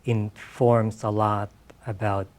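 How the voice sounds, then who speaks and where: A man's voice: on the low side, flat delivery, slow. A middle-aged man from the Philippines, a writer talking casually and reflectively at a live event. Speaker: writer, interview guest. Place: live public literary event.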